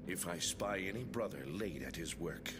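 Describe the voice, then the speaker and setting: An actor playing an earnest, humble monk who speaks in archaic phrasing, heard as game audio under loud music. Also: male voice, low voice, lively intonation, moderate pace. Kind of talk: archaic religious game dialogue.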